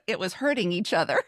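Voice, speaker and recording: A middle-aged American woman co-hosting a podcast, chatting casually in an animated, joking way. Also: medium pitch, dry recording. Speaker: podcast co-host. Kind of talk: casual, chatty group conversation.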